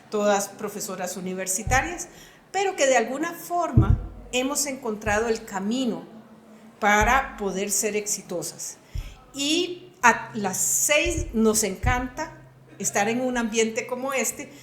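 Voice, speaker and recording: A woman's voice: on the high side, reverberant, a little hiss or hum. Earnest Costa Rican woman in her sixties. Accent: Costa Rican Spanish. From Costa Rica. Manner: earnest, emphatic storytelling. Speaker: guest, retired computing professor. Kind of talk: interview answers.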